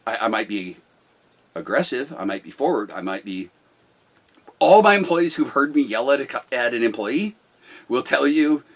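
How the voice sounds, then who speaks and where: Loud, blunt man talking business. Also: medium pitch, sing-song, normal speed, long pauses. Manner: blunt, forceful storyteller. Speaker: business owner talking about management. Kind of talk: casual talk.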